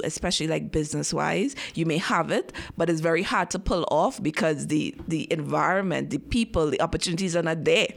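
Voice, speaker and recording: A woman speaks in a lowish voice with some vocal fry. She explains her views thoughtfully and at a measured pace as a radio studio guest. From Trinidad. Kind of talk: casual interview talk.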